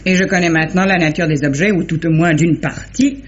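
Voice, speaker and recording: A woman's voice: deep voice, brisk, natural voice. An actress plays a shrewd amateur sleuth, wife of a police inspector, in vintage radio drama style. She is practical and plain-spoken, and the recording sounds dull and dated. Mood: matter-of-fact. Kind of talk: 1950s French radio mystery acting.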